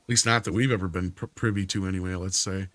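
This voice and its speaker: A man's voice: deep voice, fairly even intonation, quick pace, a bit bright, no room sound. Casual male stream host, dry close sound. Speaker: live stream host reading viewer comments. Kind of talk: casual panel chat.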